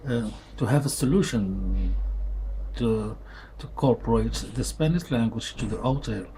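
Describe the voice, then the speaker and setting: Slow, halting man with many fillers. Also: medium pitch, dark tone. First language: Arabic. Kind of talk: casual product review vlog. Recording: noisy background.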